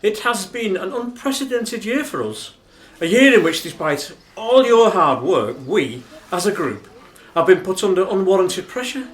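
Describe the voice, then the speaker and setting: An actor playing a formal, indignant dinner speechmaker in a radio play, declamatory and emphatic. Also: male voice, high voice, big pitch swings, normal speed. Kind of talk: theatrical radio play monologue. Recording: roomy, echoing sound.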